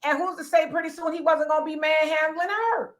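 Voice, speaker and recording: Blunt, emphatic high-voiced woman. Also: fairly quick. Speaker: podcast host. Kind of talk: casual opinion talk.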